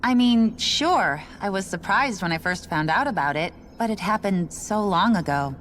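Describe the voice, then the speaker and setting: An actress playing a resigned, matter-of-fact station worker in a video game, explaining things in a casual, unbothered way. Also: female voice, mid-range voice, lively intonation, normal speed, a bit bright, slight background noise, lightly acted. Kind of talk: video game cutscene voice acting.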